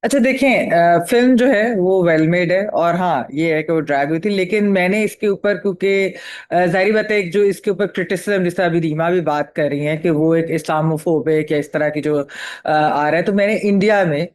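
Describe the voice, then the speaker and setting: A woman with a Pakistani accent giving halting, discursive analysis as a panelist, mixing in English phrases. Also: on the low side, darkish tone. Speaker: panelist and film critic.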